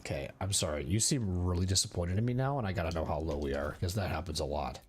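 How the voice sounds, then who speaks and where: A man's voice: low voice, fast. Rambling young male streamer. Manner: rambling, lots of filler words. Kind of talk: casual gameplay commentary. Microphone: desk streaming mic.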